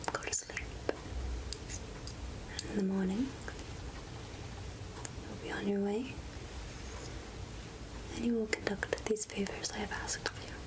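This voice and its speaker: A woman voicing a kindly woodland witch healer in an ASMR roleplay, whispering and speaking softly in a soothing, mystical, hushed manner. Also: medium pitch, close to an everyday voice, rough recording. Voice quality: breathy whisper and soft speech. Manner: slow, hushed, soothing, repeating words.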